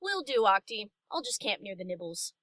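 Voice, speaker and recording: A woman's voice: mid-range voice, sing-song, moderate pace, bright, forceful tone, dry recording, studio quality. A woman voicing a brash young DJ pony, casual and teasing, in an audio story. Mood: cheeky. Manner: casual, cheeky, sardonic. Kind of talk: character acting in audio story reading.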